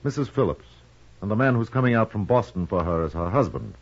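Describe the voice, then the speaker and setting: An actor playing a formal, courteous frontier army colonel in a 1950s radio western, polite and matter-of-fact. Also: male voice, fairly low pitch, animated, fast talker. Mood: grave, explanatory. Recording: muffled vintage radio recording.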